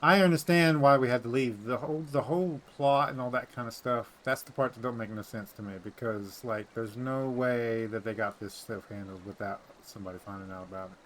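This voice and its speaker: A man with a mid-pitched voice chats casually about a game over faint background noise. He talks colloquially and presses his points insistently, swearing a little. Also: brisk, few pauses, a bit bright. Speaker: gaming channel host. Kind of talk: casual gameplay chat about story.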